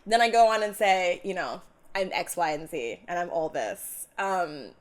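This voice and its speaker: A woman's voice: fairly low pitch, animated, moderate pace. A woman who grew up in Hong Kong, co-hosting a casual conversation and asking chatty, curious questions. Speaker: co-host.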